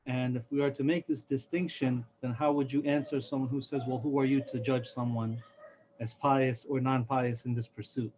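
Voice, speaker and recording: A man moderating, formally relaying questions with polite, careful, deliberate phrasing, heard on a muffled, noisy recording. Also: mid-range voice, fairly even intonation, darkish tone.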